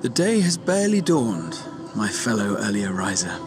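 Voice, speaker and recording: Fantasy game companion: wry, courteous, genial, very expressive mid-range voice, music behind. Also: male voice, fairly low pitch, moderate pace. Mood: wry, relaxed. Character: wry, genial adult male adventurer companion. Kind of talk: fantasy video game dialogue.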